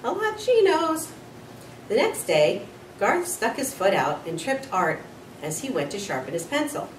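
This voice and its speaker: A woman reads a children's story aloud with a lively, varied pitch. She is expressive and a little informal. The recording is roomy, slightly off the mic. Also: normal speed. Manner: expressive, with small slips and corrections. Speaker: school nurse reading to children. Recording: roomy, distant mic with faint noise.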